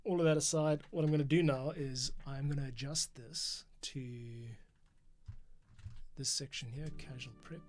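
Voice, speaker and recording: A man's voice: medium pitch, fairly even intonation, unhurried, a little mellow. A man giving casual livestream commentary as he works, thinking aloud and explaining each step. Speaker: composer and livestream host. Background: music playback.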